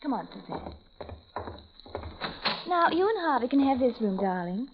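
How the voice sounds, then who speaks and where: An actress playing a jealous young wife who coos barbed, sarcastic remarks, in 1940s radio acting on a dull, faintly hissing old recording. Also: female voice, on the high side, sing-song, normal speed. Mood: catty. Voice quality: sweetly sarcastic, cooing insults.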